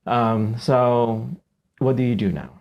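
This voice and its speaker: Gravelly Indonesian-accented man, halting delivery. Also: mid-range voice, muffled recording. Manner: halting, searching for words, repeats himself. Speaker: podcast host, interviewer. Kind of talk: casual interview chat.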